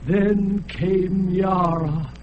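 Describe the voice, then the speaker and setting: An actor playing an ancient, blinded alien god who is enslaved and sorrowful, speaking in a grave, chanting way. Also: male voice, high-pitched, fairly even intonation, dull audio, a little hiss or hum. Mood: sorrowful, solemn. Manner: slow, grave, chanting delivery. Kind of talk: radio drama acting.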